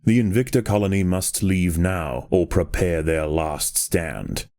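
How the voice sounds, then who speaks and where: A deep, mellow nature documentary narrator for a game. He delivers scripted lines with grave, measured drama. The sound is dry and intimate. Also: dry recording. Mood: grave, dramatic.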